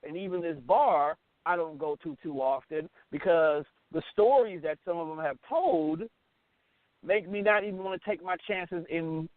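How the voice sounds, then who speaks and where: A man's voice: a little high, dry recording. American man, casual radio talk, blunt, emphatic, dry close sound, narrow phone-like audio. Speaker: radio talk show host. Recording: tinny, phone-like band-limited audio.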